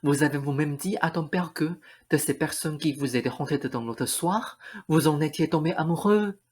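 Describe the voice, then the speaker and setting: A woman's voice: low-pitched, moderate pace, darkish tone, slightly rough. A woman playing an eccentric, pleading lady who insists she is a prince's love, in a student radio play. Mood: bewildered, pleading.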